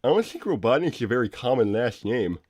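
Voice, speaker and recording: A man playing an awkward, exasperated cartoon animal inventor, co-hosting an in-character livestream in halting, repetitive chat. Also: mid-range voice, big pitch swings, a little mellow. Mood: unsure. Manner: halting, stammering, repeats himself. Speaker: livestream co-host.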